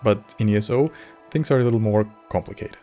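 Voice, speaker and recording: Low-voiced man narrating a video essay. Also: a little fast, dark tone, soft background music. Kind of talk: scripted narration with casual asides.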